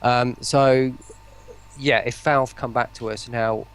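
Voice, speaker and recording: A man's voice: mid-range voice, steady tone, measured pace, smooth. A man talking casually and enthusiastically in an interview, rambling and often breaking into his own sentences. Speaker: voice pack producer, stream guest.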